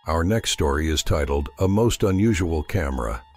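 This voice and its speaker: A man's voice: rumbling low, expressive, normal speed, faint music behind. A man hosting, reading a scripted introduction to a radio story. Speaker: host announcer.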